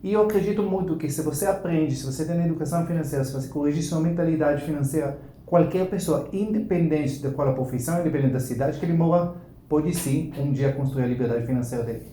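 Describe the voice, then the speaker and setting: A man's voice: fairly high pitch, mellow, reverberant, a little hiss or hum. A man in his forties from Israel with an Israeli accent, telling his story as an interview guest in fluent but slightly accented Portuguese. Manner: fluent, fast, lightly accented Portuguese with small grammar slips. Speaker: financial educator guest.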